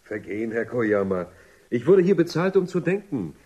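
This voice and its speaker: An actor playing a defiant, dryly ironic sacked thinker in a dystopian radio drama, with a husky, insistent delivery. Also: male voice, mid-range voice, big pitch swings, moderate pace, mellow, highs cut off. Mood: indignant, defiant. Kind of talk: German radio drama acting.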